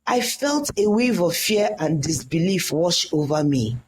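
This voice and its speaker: A storyteller reading a scripted first-person tale in a dramatic, deliberate way. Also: medium pitch, big pitch swings, a little hiss or hum.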